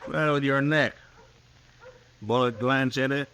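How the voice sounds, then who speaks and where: An actor playing a taunting Old West outlaw in old-time radio style, unhurried and menacing. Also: male voice, mid-range voice, steady tone, fairly bright tone, slight background noise, rough recording. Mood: cold, taunting.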